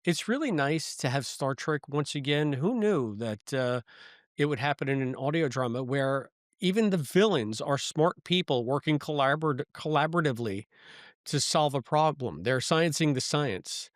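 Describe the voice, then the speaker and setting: A man co-hosting a podcast, talking through a review in a casual, halting, thoughtful way. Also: fairly high pitch, animated, no echo, clean studio sound. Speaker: podcast host.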